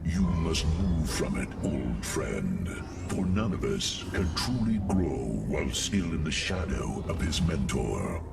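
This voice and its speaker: Ancient alien warrior mentor, super deep n slow, solemn game cutscene, distant under loud music. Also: male voice, sing-song, exaggerated voice. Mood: stern encouragement. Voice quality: deep, gravelly. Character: ancient battle-worn alien warrior, grave wise mentor. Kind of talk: video game cinematic acting.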